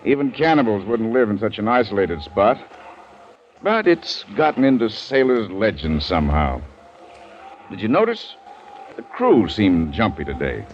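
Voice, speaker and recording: A slightly noisy, dull vintage radio recording of an uneasy gentleman hunter. The man's lowish voice swings widely in pitch as he muses hesitantly, like a thoughtful companion in a 1940s adventure play. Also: sing-song, normal speed. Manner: musing, hesitant. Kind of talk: 1940s radio drama acting.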